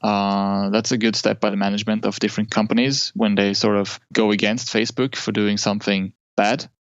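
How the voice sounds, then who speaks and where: Low gravelly man, muffled dry sound. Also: level tone, a little fast, no room sound. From Norway. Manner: hesitant, many fillers and restarts. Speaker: guest. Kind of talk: casual interview talk.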